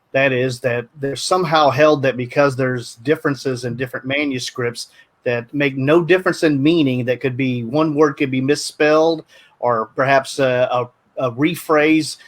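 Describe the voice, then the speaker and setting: An older man calmly explaining a point as a guest in a panel discussion over a video call. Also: medium pitch. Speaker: guest apologist. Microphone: video call webcam.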